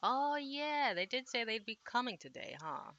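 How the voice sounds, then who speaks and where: A woman's voice: fairly high pitch, big pitch swings, moderate pace, fairly bright tone, highs cut off. A woman streaming a visual novel, reading translated dialogue aloud and adding casual, slangy asides. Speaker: game streamer.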